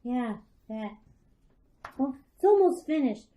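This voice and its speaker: A woman's voice: sits high, lively intonation, takes it slowly, dark tone. A woman playing an eager young girl in an amateur radio drama, chirpy and matter-of-fact.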